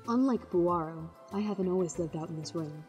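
A woman's voice: on the low side, darkish tone, faint music behind, close to an everyday voice. A woman voicing a gloomy female wind demon. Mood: explaining. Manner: grave, measured, gloomy. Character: solemn female wind demon, world-weary. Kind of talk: fan radio play acting.

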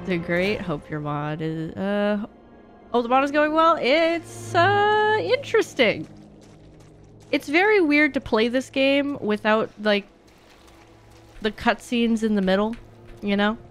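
A woman's voice: on the high side, animated, slow, faint music behind. A woman streamer giving casual, playful live gameplay commentary, chatting along and answering her viewers. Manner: chatty, playful, reading and answering chat aloud.

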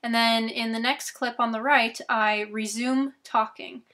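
A young woman with a bright, slightly high voice, talking slowly and carefully as she explains each step. She is losing her voice and adds chatty asides between instructions. Recorded on a camera mic in a small bedroom. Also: fairly even intonation. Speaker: YouTube tutorial host. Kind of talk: screen-recorded software tutorial.

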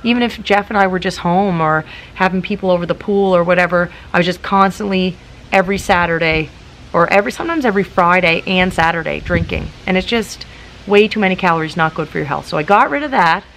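Woman, late 30s, calm, practical, casual chatty vlog, lower voice, outdoor garden, faint wind. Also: quick pace, low-quality recording. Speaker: YouTuber. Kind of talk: casual vlog talk to camera. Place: outdoors in a garden in front of hedges.

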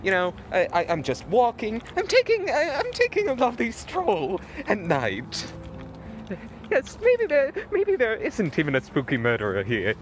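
A man's voice: very high-pitched, huge pitch swings, normal speed, slight background noise. A man vlogging outdoors in the woods at night on a handheld camera, stammering nervously with repeated words and voice cracks. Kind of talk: mock-scared comedic night vlog.

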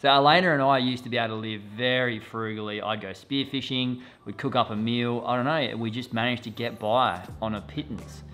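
A man's voice: mid-range voice, brisk, hardly any pauses, a bit bright. A man, chatting animatedly to camera as a vlogger. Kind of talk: casual talk to camera. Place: poolside villa outdoors, then living room.

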